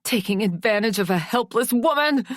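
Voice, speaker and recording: A woman's voice: slow pace, dry recording, clean studio sound. A woman voicing a distraught young woman who pleads tearfully and screeches at her father. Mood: furious. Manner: desperate, tearful, shrill.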